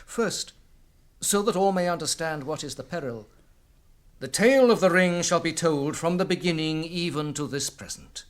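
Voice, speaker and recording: Guy playing a wise elf lord, fairly high voice, solemn and measured, radio drama. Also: lightly acted. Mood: grave. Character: wise, grave elven lord presiding over a council.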